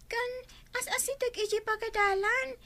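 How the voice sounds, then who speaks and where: An adult plays an earnest young child in an audio drama, pleading with their mother. The voice is pitched extremely high, like a little kid's. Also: fairly even intonation, moderate pace, sharp tone.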